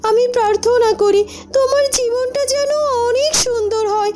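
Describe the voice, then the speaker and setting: A woman voicing a sugary sweet young maiden in a cartoon, with a gushing, drawn-out delivery. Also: very high-pitched. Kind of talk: cartoon voice acting. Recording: loud music behind. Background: music.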